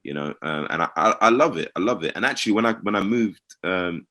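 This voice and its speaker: A middle-aged man, chatting lively and emphatically in an interview. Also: deep voice, fast. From London, England. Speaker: actor, cast member. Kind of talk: casual interview chat.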